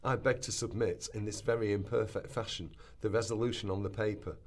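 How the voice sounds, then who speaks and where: A man's voice: on the low side, fairly even intonation, brisk, slight background noise, lightly acted. A man playing an earnest early twentieth-century socialist parliamentarian, giving a formal, declamatory speech in a radio play rehearsal.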